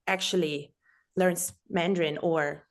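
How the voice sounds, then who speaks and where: A woman's voice: on the low side, fairly even intonation, slow pace. A woman from Germany with a German accent, telling her own story casually as a podcast guest. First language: German and Transylvanian Saxon dialect. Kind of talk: casual personal storytelling interview.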